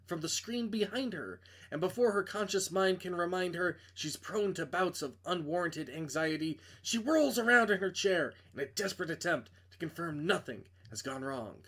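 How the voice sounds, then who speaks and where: A man's voice: sits high, a bit bright, breathy edge. A man reading a visual novel aloud as a streamer, narrating dramatically and breaking off into casual, joking asides to correct himself.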